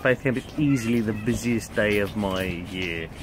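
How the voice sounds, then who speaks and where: Low-voiced man vlogging in noisy wind. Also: moderate pace. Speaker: vlogger and youth camp leader. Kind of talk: casual chatty vlog narration. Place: outdoors on a campsite, under a tent awning. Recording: windy, noisy outdoor camera sound. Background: wind and outdoor ambience.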